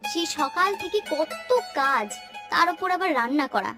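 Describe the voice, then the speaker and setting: A woman's voice: sits high, expressive, normal speed, over music. A woman voicing an affectionate, hospitable village aunt in a cartoon, coaxing and teasing as she fusses. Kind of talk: Bengali cartoon dialogue.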